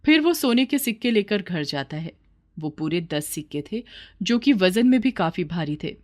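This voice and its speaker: A woman's voice: mid-range voice, big pitch swings, moderate pace. A woman narrating a scripted children's story as the storyteller.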